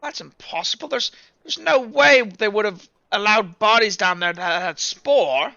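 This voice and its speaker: A man's voice: high voice, animated, measured pace, creaky, bright, forceful tone, no room sound, highs cut off, pitched above the performer's own voice, full character voice. A man voicing a haughty, alarmed plague doctor in a tabletop game, questioning in a clipped, incredulous way.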